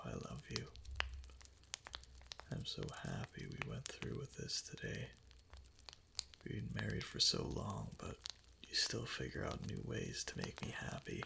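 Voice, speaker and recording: An obsessively devoted, possessive kitsune husband, acted by a man for a romantic ASMR roleplay. The voice is low and heavily gravelly, slipping often into breathy whispers, with soft, clingy pillow-talk phrasing. It sits close on the mic with audible noise around it. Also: exaggerated voice. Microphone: close ASMR microphone.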